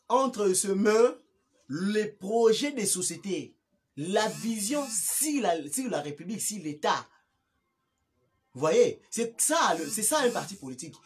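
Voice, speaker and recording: A man with a Congolese accent giving impromptu political commentary in an emphatic, repetitive way, often stumbling and restarting. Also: high-pitched. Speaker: political party activist and commentator.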